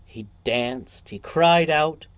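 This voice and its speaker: A man's voice: fairly high pitch, slow pace, no room sound. Man narrating a short story, dry sound.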